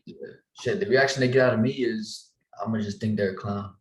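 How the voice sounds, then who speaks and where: A man's voice: lowish voice, fairly even intonation, fairly quick. A young man from southern Colorado hosting a podcast, laid-back and cursing casually in casual chat. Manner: laid-back, curses casually, short agreeing replies. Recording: echoey room.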